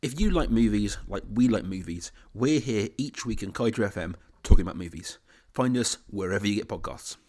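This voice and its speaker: A man's voice: fairly low pitch, lively intonation, brisk, hardly any pauses, darkish tone. A man reading an informal, scripted promo as a podcast host.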